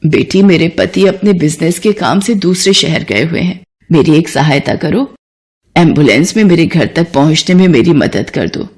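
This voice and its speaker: A woman voicing a kind, gentle older woman who speaks softly. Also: sits low, lively intonation, normal speed. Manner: gentle, soft-spoken.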